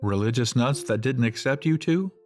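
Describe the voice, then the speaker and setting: A man playing a mellow, laid-back, sincere survivor in a radio play. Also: mid-range voice, expressive, normal speed, soft background music, natural voice. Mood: sympathetic.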